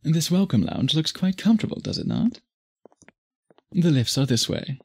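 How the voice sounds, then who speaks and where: A man playing an obsessive, tender vampire suitor in an intimate ASMR roleplay, speaking in formal, gently doting phrases. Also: on the high side, sing-song, normal speed, husky, full character voice. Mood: doting, courtly.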